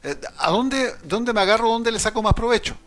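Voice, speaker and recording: A man's voice: very high voice, fairly quick, fairly bright tone, faint music behind. A man hosting with a Chilean accent, asking chatty, rambling questions in a studio. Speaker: show host. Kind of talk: interview questions, casual. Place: broadcast studio.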